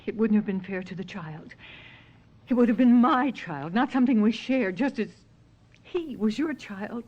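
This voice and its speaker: An actress playing a long-suffering, tender wife in an old-time radio drama, earnest and emotional. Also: female voice, medium pitch, animated, moderate pace, darkish tone, lightly acted. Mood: bitter. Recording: muffled vintage radio drama recording.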